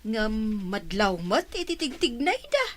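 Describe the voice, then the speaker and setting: A gossipy Ilocano landlady in a radio drama. She speaks slowly in a high, swooping voice, prying knowingly into her boarders' lives. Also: sing-song. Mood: nosy, teasing. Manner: inquisitive, knowing.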